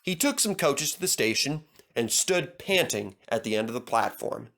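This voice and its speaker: A man's voice: medium pitch, animated, moderate pace, vocal fry, fairly bright tone. A man narrating a scripted children's story as a storyteller.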